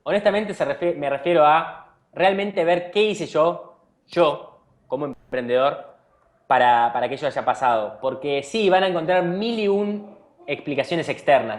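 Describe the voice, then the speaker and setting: A man from Argentina with an Argentine accent, a company founder answering interview questions with earnest advice and frequent restarts. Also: on the high side. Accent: Argentine Spanish. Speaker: startup CEO being interviewed.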